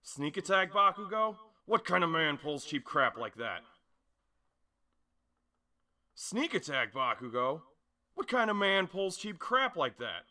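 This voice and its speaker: Casting: brash, spirited teen superhero student for an anime dub, forceful and bright, punchy delivery, performed by a man. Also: a little high, big pitch swings, measured pace, pitched above the performer's own voice, full character voice. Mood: indignant. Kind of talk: anime dub audition acting.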